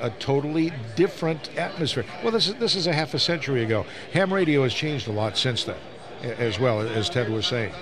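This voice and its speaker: Older male, mid-pitched, veteran hobbyist on live radio, conversational and halting, with clear crowd chatter behind. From Northeast Ohio, United States. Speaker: ham radio veteran on a talk show. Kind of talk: casual reminiscing and explaining. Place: live broadcast from a busy ham radio convention. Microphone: broadcast microphone. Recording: crowded convention floor, busy background chatter.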